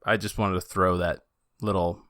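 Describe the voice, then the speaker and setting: A man's voice: sits low, little pitch movement, moderate pace, fairly bright tone, no room sound, studio quality. A man co-hosting a podcast in a casual, conversational style about fan topics. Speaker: podcast co-host.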